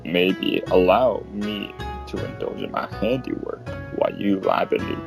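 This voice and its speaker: A man voicing a seductive, courteous male lover for a fiction reading, gravelly and intimate. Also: deep voice, measured pace. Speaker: guest voice actor. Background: plucked guitar music.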